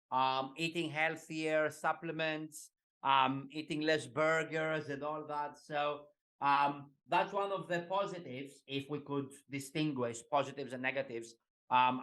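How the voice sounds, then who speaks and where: Greek-born man, high, halting chat. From Greece. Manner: relaxed, halting, many fillers and light laughs. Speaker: guest, executive coach. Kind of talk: casual interview chat.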